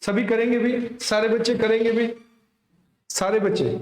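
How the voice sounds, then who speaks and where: A man's voice: extremely high pitch, level tone, normal speed. A man teaches maths in a reverberant room. He explains step by step like a coaching teacher, repeats himself for emphasis and often asks his students, with affectionate address, whether they follow. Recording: echoey room.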